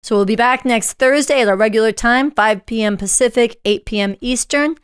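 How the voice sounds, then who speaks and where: A woman's voice: on the high side, brisk, clear, resonant tone. A middle-aged woman hosting a political panel show, speaking with earnest, emphatic conviction and repeating phrases for stress, close on a studio microphone. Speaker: show host. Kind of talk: impassioned political panel commentary. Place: small book-lined studio, indoors. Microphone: boom-arm podcast microphone, close.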